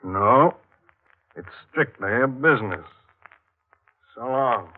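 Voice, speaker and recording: An actor playing an impassive, heavy-set killer in 1940s radio drama, speaking in a casual, laconic, menacing way. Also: male voice, medium pitch, animated, slow, heavy background noise. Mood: cool, knowing. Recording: tinny old radio broadcast, music behind.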